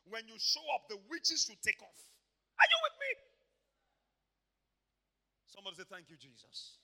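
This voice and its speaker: A man preaching an energetic sermon in a church, swinging from quiet asides to shouted exclamations and acting out voices. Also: very high voice, normal speed. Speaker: pastor. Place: church hall.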